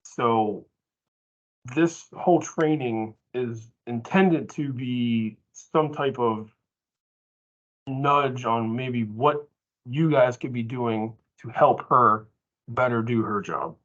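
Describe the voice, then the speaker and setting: A man's voice: medium pitch, takes it slowly, fairly bright tone. A man presenting informally at a staff training, explaining in short, broken phrases over muffled meeting audio.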